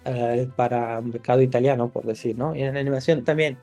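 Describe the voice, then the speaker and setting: Screenwriter guest from Italy, male, relaxed dark timbre, reflective with restarts, faint music bed. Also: mid-range voice, a little fast. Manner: thoughtful, many restarts and fillers. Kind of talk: podcast interview.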